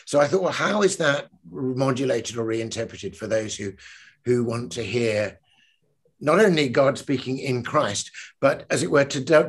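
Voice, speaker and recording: An older poet with a husky, slightly breathy voice speaks over a video call from his living room. He talks earnestly with restarts and reads verse with rising emphasis. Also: medium pitch. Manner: earnest, with many restarts in talk and swelling emphasis when reading. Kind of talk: discussion and reading his poem aloud.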